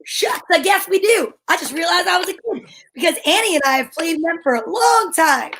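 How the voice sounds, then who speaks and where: Woman playing an earnest, high-voiced country boy. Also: animated, brisk, fairly bright tone. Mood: eager to help. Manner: folksy, aw-shucks, agreeable. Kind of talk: old-time radio drama acting.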